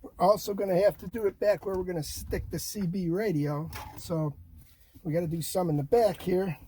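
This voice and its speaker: A man's voice: high voice, fairly even intonation, moderate pace, mellow, slight background noise. An older man giving a casual hands-on install demonstration in short, folksy, punchy phrases, recorded inside a vehicle. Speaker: DIY vlog host. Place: inside a parked Jeep.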